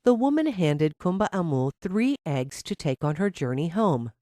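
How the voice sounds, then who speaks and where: A woman's voice: on the low side, big pitch swings, normal speed, dark tone, dry recording, studio quality. A woman narrating a scripted fairy tale for children as a storyteller.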